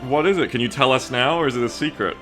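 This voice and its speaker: A man's voice: mid-range voice, fast talker, a bit bright, faint music behind. A man hosting a game stream, chatting casually about the story in sweary, colloquial banter.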